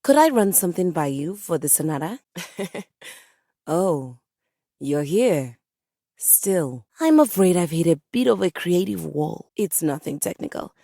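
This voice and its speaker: A woman's voice: lowish voice, expressive, unhurried, gravelly, mellow, no room sound, studio quality, full character voice. A woman playing a proud, fussy living piano in a video game, speaking as a theatrical lady composer with formal, haughty diction. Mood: fluttery, shy. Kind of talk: video game character dialogue.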